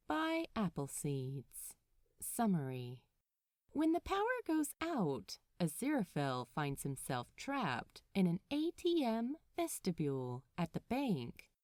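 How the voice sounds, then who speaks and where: A woman narrating a story aloud in an expressive, measured, dramatic storytelling style. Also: on the low side, dry recording, studio quality. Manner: animated, measured, dramatic phrasing. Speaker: podfic narrator.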